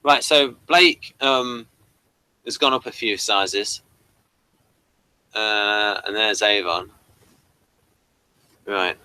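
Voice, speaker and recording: Casual online host: British male, unhurried and rambling, with a forward, bright tone. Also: on the low side, clean tone, low-quality recording. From Britain. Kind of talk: casual review chat. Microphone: webcam livestream setup.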